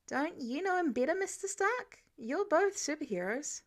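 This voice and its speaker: A woman's voice: sits high, expressive, normal speed, voice pushed up. A woman voicing a polite, nervous young man who feigns innocence and hesitates as he talks, in an audiobook. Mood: feigned innocence. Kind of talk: audiobook character dialogue.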